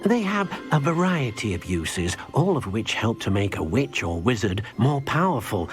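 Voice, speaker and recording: An actor playing a timid, kindly house-elf servant in a video game, hesitant and deferential, speaking of himself in the third person. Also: male voice, medium pitch, very animated, moderate pace, lightly acted. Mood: helpful, eager. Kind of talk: video game character dialogue. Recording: music behind the voice.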